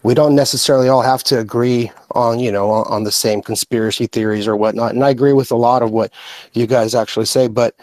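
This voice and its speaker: American man speaking haltingly, dry close sound. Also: mid-range voice, steady tone, a little mellow, dry recording. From born in Russia, raised in the United States from age five. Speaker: guest speaker in online group audio chat. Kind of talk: casual, earnest political opinion talk.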